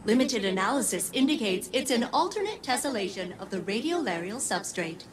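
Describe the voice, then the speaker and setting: Earnest machine-intelligence role for a game, high and lively. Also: female voice, brisk, background noise. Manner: earnest, precise. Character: polite, analytical female machine intelligence.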